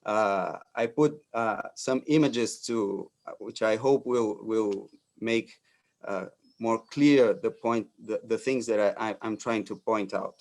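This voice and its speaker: Slow, halting Brazilian man lecturing. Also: fairly low pitch, darkish tone. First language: Portuguese. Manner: hesitant, many fillers and restarts. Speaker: architect giving a talk. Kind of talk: lecture presentation.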